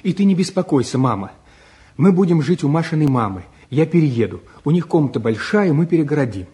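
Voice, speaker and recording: An actor playing an earnest, quietly firm young newlywed son in a 1950s Soviet radio drama, matter-of-fact and soft-spoken. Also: male voice, on the high side, sing-song, fairly quick, husky, full character voice. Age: young adult.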